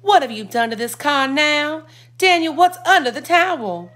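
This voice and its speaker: A woman's voice: high voice, expressive, moderate pace, fairly bright tone, pitched above the performer's own voice. A woman voicing a stern, worried mother who scolds her family. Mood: scolding.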